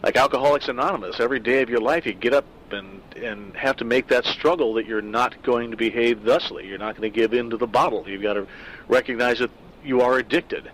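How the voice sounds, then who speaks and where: A middle-aged man talks over a story he wrote in a loose, reflective way, pausing on fillers as he thinks aloud. His voice sits at an ordinary middle pitch, with a faint rumble of traffic behind him. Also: fairly bright tone, poor audio. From Southern California. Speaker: author discussing his own story. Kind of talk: casual spoken afterword.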